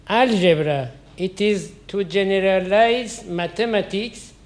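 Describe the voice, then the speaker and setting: A man in his seventies, a native Persian speaker, giving a math lecture in English and searching for his words. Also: high voice. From Iran. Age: 70s. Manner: halting, searching for words, restarts. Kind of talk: math lecture in a second language.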